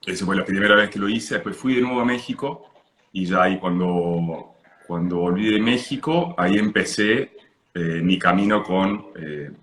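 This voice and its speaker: A man in his thirties, born in Italy and raised partly in Argentina, speaking with an Argentine accent as a guest, relaxed and unhurried. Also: low-pitched. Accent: Argentine Spanish. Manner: relaxed, just woke up, unhurried with pauses. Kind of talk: casual video-call interview. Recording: echoey room.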